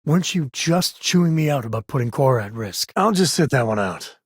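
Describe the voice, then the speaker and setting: An actor voicing a laid-back, protective frontier ranger and father in a space video game, with a husky voice and folksy, wry phrasing. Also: medium pitch, expressive, fairly quick, no room sound, studio quality. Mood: serious. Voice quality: husky, raspy. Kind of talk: video game character voice lines.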